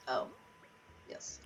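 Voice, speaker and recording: A woman's voice: darkish tone, distant mic, a little hiss or hum. A woman livestreaming a game with casual, candid chat, swearing freely in a wry way and reading bits of text aloud. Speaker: livestream host. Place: home office.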